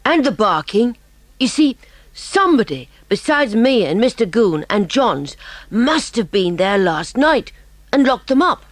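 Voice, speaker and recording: A woman playing a clever, inquisitive British schoolboy detective, brisk and emphatic, in a dramatised audiobook. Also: fairly high pitch, big pitch swings, no echo. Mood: excited, confident. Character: clever, keen, bossy schoolboy detective, eager and polite.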